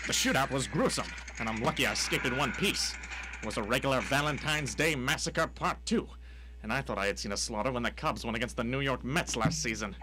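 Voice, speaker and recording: Man playing a bright, theatrical noir detective. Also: mid-range voice, animated, a little fast, a little hiss or hum. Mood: wry narrating. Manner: theatrical, old-timey slang. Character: wisecracking, hapless male noir private detective narrator. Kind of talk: hard-boiled noir radio drama narration.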